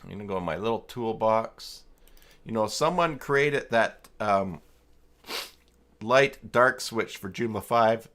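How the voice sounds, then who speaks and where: A man hosting a casual, unscripted livestream tutorial, talking haltingly as he thinks aloud through each step. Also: lowish voice, expressive, fairly bright tone. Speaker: livestream host and web tutorial presenter.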